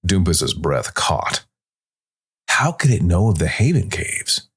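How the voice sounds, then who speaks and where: A man's voice: low-pitched, very animated, slow pace, breathy edge. A man narrating a scripted fantasy tale dramatically as a storyteller. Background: music.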